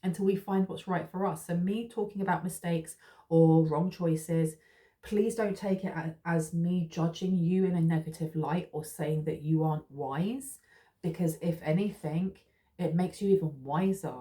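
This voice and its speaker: A woman talks through a tarot reading in a loose, rambling way, full of fillers and restarts. Her voice sits a little low and sounds mellow and relaxed. She keeps a gently reassuring tone toward her listeners. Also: normal speed. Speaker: tarot reader. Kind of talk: casual spiritual tarot reading.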